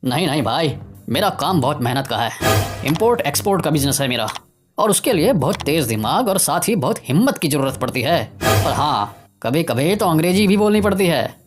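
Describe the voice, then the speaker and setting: A woman voicing a cocky, bossy village man in an animated story, speaking in an emphatic, colloquial, scolding way. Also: low voice, moderate pace. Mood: boastful, dismissive. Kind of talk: animated Hindi moral story dialogue. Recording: music behind the voice.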